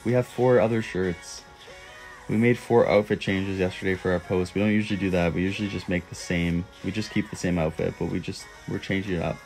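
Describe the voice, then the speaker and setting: A young man talks easily and playfully to viewers of his phone livestream, answering comments one by one. His voice sits a little low for a man. It is close to the phone's mic in a small room, with music playing faintly in the background. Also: steady tone, fairly quick, darkish tone. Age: young adult. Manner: playful, bursts into high owl hoots. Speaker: livestream host. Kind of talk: casual livestream chat reading comments. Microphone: phone held close.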